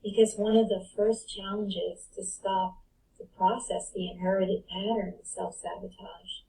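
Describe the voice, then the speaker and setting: A woman's voice: lowish voice, warm tone. A woman speaking as a guest life coach in a reflective spiritual talk, building her points through emphatic repetition, recorded on a distant mic in an online live audio room.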